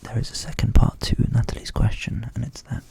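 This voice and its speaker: A young man who grew up in England with Ukrainian as his first language, whispering softly as an ASMR host answering viewer questions and thinking aloud. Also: medium pitch, fairly quick, gravelly, a little hiss or hum. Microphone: studio USB microphone.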